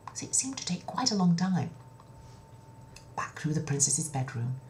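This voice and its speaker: A woman telling a children's story in an expressive, dramatic way, with breathy dips toward a whisper and a chatty, confiding touch. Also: rumbling low. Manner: chatty asides to the listener. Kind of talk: children's story narration, told in own words.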